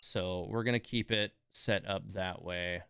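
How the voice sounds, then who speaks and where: A man's voice: low-pitched, fairly even intonation, a little slow, a bit bright, smooth, no echo, studio quality. A man giving casual livestream gaming commentary, musing as he talks his choices through to himself. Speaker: livestream gamer, host. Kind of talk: casual gameplay livestream commentary, thinking aloud.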